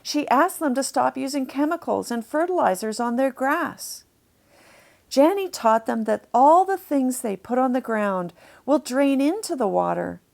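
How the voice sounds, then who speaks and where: A woman reads a storybook for children. Her voice sits fairly high, and she takes her time, shaping phrases expressively and lingering on key words. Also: expressive, measured pace, vocal fry, darkish tone. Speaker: author reading a children's story.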